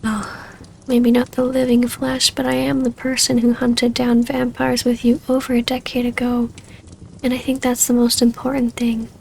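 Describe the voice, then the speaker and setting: A woman with a fairly high voice and a strong creak plays a wistful vampire baroness in an ASMR roleplay. Her soft, hesitant delivery stays within a narrow pitch range, intimate and tender. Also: completely flat delivery, moderate pace, a little hiss or hum.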